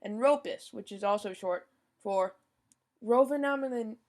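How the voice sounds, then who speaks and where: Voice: mid-range voice, a little slow. A young podcast host giving a scripted informational rundown, now and then stumbling over foreign names and apologizing.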